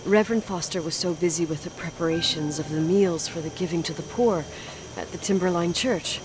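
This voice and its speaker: An actress playing a distraught grown woman haunted by a repressed memory, speaking in a hushed, halting, anguished way in a dramatic video game. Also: lowish voice, natural voice. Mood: grave, shaken. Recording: clearly noisy ambience behind the voice.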